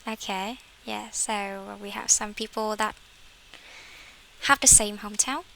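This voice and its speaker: A woman's voice: mid-range voice, fairly even intonation, unhurried, vocal fry, bright, forceful tone, a little hiss or hum, rough recording. A woman, an English teacher leading a class conversation and correcting her students' phrasing. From Vietnam.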